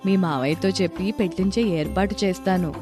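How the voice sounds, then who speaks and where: A woman's voice: fairly low pitch, brisk, over music, lightly acted. Woman playing a worried old village mother-in-law. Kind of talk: storybook voice acting.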